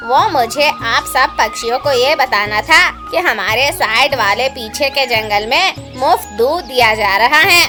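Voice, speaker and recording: A woman's voice: on the high side, lightly acted. A woman voicing an exclamatory male bird who addresses his flock in a children's cartoon, declaiming as he goes. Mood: announcing. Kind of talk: Hindi children's cartoon dubbing.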